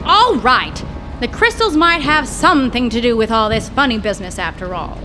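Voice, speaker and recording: A woman's voice: fairly high pitch, lively intonation, moderate pace, background noise, lightly acted. An actress playing a blunt, sarcastic young woman investigating her scientist father's lab, in old-time radio drama style. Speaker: scientist's daughter. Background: music.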